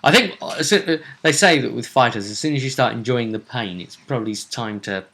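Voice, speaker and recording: A man's voice: mid-range voice, animated, a little fast, sharp tone. A man casually recapping a fantasy role-play tournament as host, often hesitating and repeating phrases as he recounts it.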